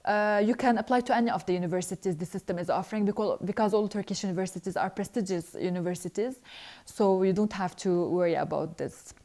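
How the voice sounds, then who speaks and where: A young woman, a scholarship alumna, reading out viewer questions and answering them earnestly in a live Q&A, with frequent hesitant pauses. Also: mid-range voice, warm tone.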